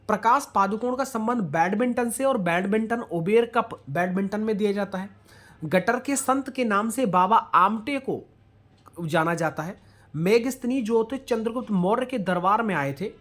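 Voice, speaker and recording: Female exam coach, mid-range voice, question-and-answer revision delivery, some English mixed in. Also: medium pitch. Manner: asks questions then answers them, addressing students directly; mixes English words into speech. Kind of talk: rapid-fire quiz revision lesson.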